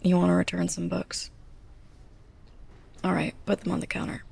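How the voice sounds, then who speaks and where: A young woman playing a sarcastic, scolding, snobbish librarian's assistant in a close-up ASMR roleplay, breathy and often near a whisper. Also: on the low side, monotone, moderate pace, a little mellow, exaggerated voice. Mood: curt. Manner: sarcastic, exasperated, pointed delivery.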